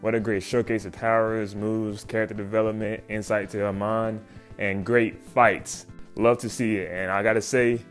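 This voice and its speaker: A man giving an excited, slangy, profane comic recap as a video host. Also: on the low side, moderate pace, faint music behind. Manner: slangy, profane, informal, exclamatory.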